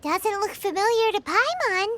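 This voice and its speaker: A woman's voice: extremely high pitch, very animated, moderate pace, bright, forceful tone, slight background noise, heavily acted. A woman playing a small, childlike, bubbly fairy companion in a video game, exclamatory and easily exasperated. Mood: curious. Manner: refers to self in third person, exclamatory. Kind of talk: video game character acting.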